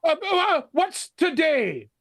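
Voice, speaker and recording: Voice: high-pitched, very animated, slow pace, a bit bright, dry recording, studio quality, exaggerated voice. An actor playing an elderly reformed miser in a vintage radio drama, stammering and exclaiming with great feeling. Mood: excited, genial. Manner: stammering, breathless exclamations with frequent chuckles.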